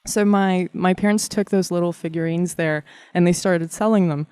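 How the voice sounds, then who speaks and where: A woman in her thirties from Kazakhstan, telling animated stories about her childhood as a guest in an interview. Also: fairly low pitch, moderate pace, clear, resonant tone, no echo. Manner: warm, animated storyteller with frequent laughs and fillers. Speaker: guest artist. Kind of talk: casual interview storytelling.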